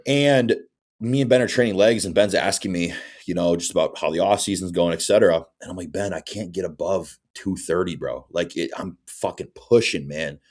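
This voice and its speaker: Man in his twenties, deep voice, laid-back coach talking shop on a podcast. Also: brisk. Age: 20s. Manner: relaxed, sprinkles in "bro" and "you know what I'm saying". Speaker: bodybuilding coach and guest. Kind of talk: casual podcast chat.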